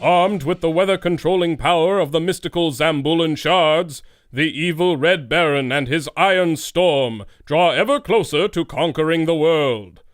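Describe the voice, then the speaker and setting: An actor playing a dramatic, pompous announcer of an old-time radio adventure serial. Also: male voice, a little high, normal speed, natural voice. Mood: grandiose, stirring. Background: music.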